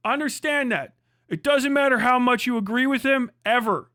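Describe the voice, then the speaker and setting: A man with a high, sharp voice rants casually as a livestream host, swearing freely and hammering points by repeating them. The sound is close and very dry, with a forceful edge to the delivery. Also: moderate pace, dry recording. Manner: profane, emphatic, repeats phrases for emphasis. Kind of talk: casual livestream commentary, comedic ranting.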